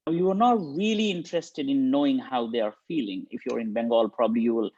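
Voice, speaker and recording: A man giving a linguistics lecture on a webinar, from India, talking haltingly with many ums and restarts. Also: medium pitch, darkish tone. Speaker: guest speaker, linguistics professor. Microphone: video call. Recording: dull lo-fi dry sound.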